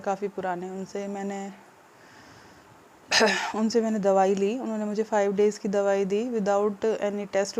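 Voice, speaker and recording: A woman from India, unwell with a sore throat, speaks in a flat, even tone with little rise and fall. She talks slowly and haltingly, pausing often and filling the gaps, as she updates her viewers from home. There is a faint room hum behind her. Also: medium pitch. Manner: hesitant, subdued, with many pauses and fillers. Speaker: vlogger. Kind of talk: casual vlog update to viewers.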